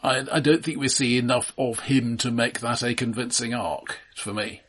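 A man co-hosting a casual film discussion, measured and analytical, often qualifying what he says. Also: medium pitch, fairly even intonation, fairly bright tone, husky. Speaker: film podcast co-host.

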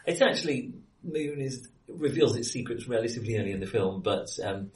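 A man hosts a casual film podcast in a mid-range voice. His delivery is halting, as he feels around for the right word. The recording is roomy and echoey, with him sitting some way back from the microphone. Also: mid-range voice, animated. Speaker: podcast host. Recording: roomy echo, distant from microphone.

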